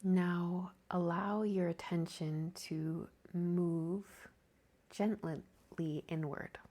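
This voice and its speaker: A woman guides a deep-rest meditation as a yoga nidra teacher. Her voice sits slightly low and moves at a very unhurried pace. The delivery is gentle and calming, with a soft, breathy touch.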